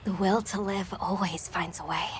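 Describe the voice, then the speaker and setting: A woman's voice: mid-range voice, fairly even intonation, moderate pace, a little hiss or hum, natural voice. An actress playing an earnest, emotional female expedition member in a video game cutscene. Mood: moved.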